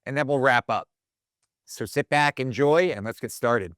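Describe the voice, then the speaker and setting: A man's voice: mid-range voice, normal speed, very noisy. A man hosting a podcast, introducing his guest and asking questions in a friendly, loose way. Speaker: podcast host. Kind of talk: casual interview hosting.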